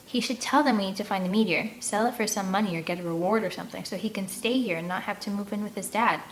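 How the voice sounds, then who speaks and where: A woman's voice: medium pitch, fast talker, slight background noise, low-quality recording. A woman reading a children's novel aloud in the first person, expressively, acting out the dialogue. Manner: animated, acts out dialogue. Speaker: audiobook reader.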